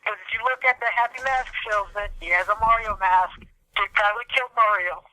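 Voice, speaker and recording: A man's voice: sits high, fairly quick, sharp tone, rough recording. A man co-hosting a casual gaming podcast, ranting in exasperated mock-seriousness and swearing freely. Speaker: podcast co-host.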